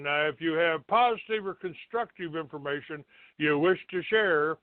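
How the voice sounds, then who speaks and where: Slow, halting man on a phone-like line. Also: sits high, clean tone. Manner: halting, grumbling, blunt with swearing. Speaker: talk show host. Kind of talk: rambling monologue, reading out a written notice. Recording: thin phone-like band, roomy echo.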